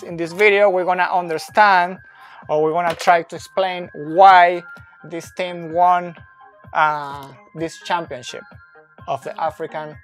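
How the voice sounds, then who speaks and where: A man in his thirties whose first language is not English, explaining soccer tactics slowly at a whiteboard, recorded on a phone camera indoors. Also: high-pitched, a bit bright. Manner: slow, deliberate, with fillers and restarts, pointing at a board. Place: indoor room with a brick wall and whiteboard. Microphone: phone camera, close and moving.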